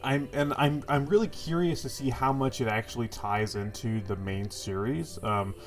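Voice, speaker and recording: A man's voice: medium pitch, fairly even intonation, normal speed, faint music behind. A man with a stuffy, congested voice giving casual, rambling impressions of a game as host of his gaming channel, full of fillers and the odd swear. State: congested from a cold.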